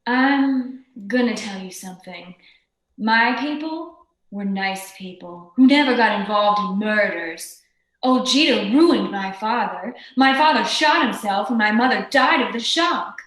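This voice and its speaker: Woman acting hard-boiled femme fatale, curt, sardonic, slightly high female voice, radio drama, echoey room. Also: expressive, measured pace, a bit bright. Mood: bitter, vengeful. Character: tough, cool, sharp-tongued glamorous young femme fatale. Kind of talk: old-time radio detective drama performance.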